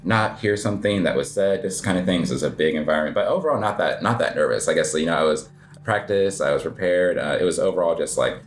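A man's voice: sits low, fast talker. An American man giving casual, rambling interview answers in an informal way, often saying "like" as he explains. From United States. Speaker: interview guest, coffee shop owner.

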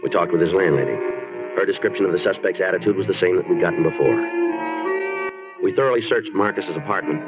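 An actor playing a businesslike American police detective who narrates his case in a deadpan, clipped manner in a 1950s radio drama style. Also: deep voice, quick pace, close to an everyday voice. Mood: matter-of-fact. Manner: deadpan, clipped, matter-of-fact. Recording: muffled old radio recording, music behind.

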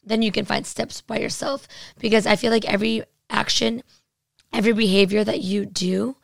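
A young woman guest in a casual chat, her voice raspy, talking loosely with lots of "like". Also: mid-range voice, fairly even intonation, moderate pace, dry recording, studio quality. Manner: chatty, full of "like".

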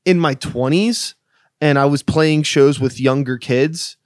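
A man's voice: on the high side, unhurried, no room sound, studio quality. A man in his late thirties from the Pittsburgh area, hosting a loose, casual interview with plenty of "like" and the odd swear. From Pittsburgh area, USA. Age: 30s. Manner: loose and chatty, heavy filler "like", swears casually. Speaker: podcast host.

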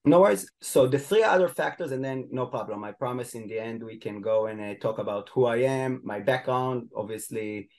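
A man's voice: medium pitch, fairly quick, hardly any pauses, darkish tone. A man with an Israeli accent, a guest expert in a podcast interview, explaining at length with many fillers and restarts. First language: Hebrew.

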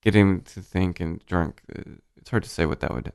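A man with a deep voice hosting a casual interview, laid-back and jokey, swearing now and then. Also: moderate pace, darkish tone, clear, resonant tone. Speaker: podcast host.

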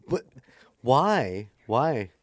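A man's voice: medium pitch, no echo. A man co-hosting a podcast, chatting casually and joking, rambling with restarts. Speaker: podcast co-host. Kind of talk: casual chat.

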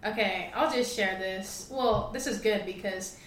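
A woman's voice: medium pitch, unhurried, a bit bright. Chatty young woman in an echoey room. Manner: chatty, laughs often, many "like" fillers. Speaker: podcast co-host, real estate agent. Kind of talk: casual podcast chat. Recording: echoey room with faint noise.

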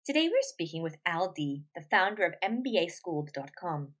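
Lively, enthusiastic woman podcast host. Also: on the low side, a little fast, breathy edge. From New York. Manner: enthusiastic and upbeat, saying brilliant often. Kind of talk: conversational interviewing.

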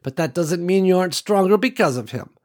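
A man voicing a wise elderly woman farmer who speaks gently and folksily, calling others honey and sweetie. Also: sits high, expressive, brisk, voice pushed up. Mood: gentle. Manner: gentle folksy drawl with endearments.

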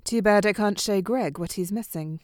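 A woman's voice: medium pitch, moderate pace, a little mellow, no room sound, natural voice. Actress playing a flippant, teasing woman, close and dry. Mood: teasing, breezy. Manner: breezy, sarcastic.

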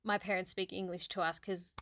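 An outgoing, loud woman whose was Hungarian, co-hosting a casual podcast chat and laughing often. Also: fairly low pitch, level tone, fairly quick, no echo, clean studio sound. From Australia. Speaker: podcast co-host.

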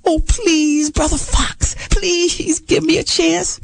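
A woman's voice: very high voice, fairly even intonation, takes it slowly, gravelly, voice pushed up, heavily acted. A woman storyteller voicing a small, hopeful male frog who begs to sing, in an eager, pleading voice.

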